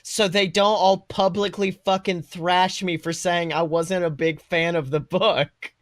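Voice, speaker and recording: A young man in his twenties with a fairly high voice, recorded dry and close. He talks casually as a podcast co-host, swearing freely and telling stories with irreverent emphasis. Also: steady tone, unhurried, no room sound. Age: 20s. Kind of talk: casual chat, animated storytelling.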